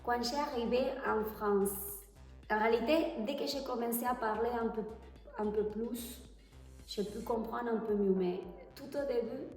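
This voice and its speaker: A woman from Argentina with an Argentine Spanish accent and Spanish as her first language, answering interview questions hesitantly and pausing to find her words. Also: fairly high pitch, darkish tone, soft background music. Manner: hesitant, pauses to search for French words. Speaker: tango teacher, interview guest. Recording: echoey hall, far from the mic.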